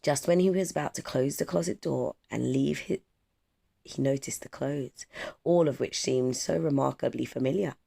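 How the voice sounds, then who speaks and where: A storyteller reading a children's picture book aloud in measured, expressive phrases. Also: medium pitch, creaky. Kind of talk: children's storybook read-aloud, scripted narration.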